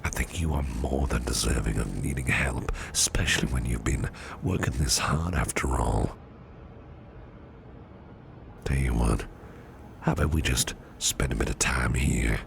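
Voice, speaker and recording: A man playing a caring, courteous businessman boss in an ASMR roleplay, speaking in a soft, soothing, half-whispered murmur. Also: rumbling low, normal speed, gravelly, a little hiss or hum, full character voice. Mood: caring, reassuring. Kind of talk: ASMR personal attention roleplay.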